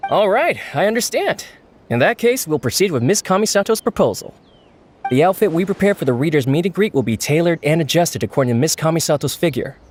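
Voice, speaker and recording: An actor playing an earnest, dutiful event organizer in an anime game, speaking politely and formally. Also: male voice, fairly high pitch, very animated, brisk, a little mellow, soft background music. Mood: concerned, deferential.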